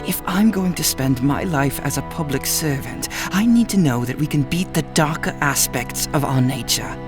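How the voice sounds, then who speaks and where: An actress playing a skeptical, probing idealist in an audio drama, wry and questioning. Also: female voice, low voice, animated, moderate pace, over music. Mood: earnest. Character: skeptical, probing, idealistic would-be public servant.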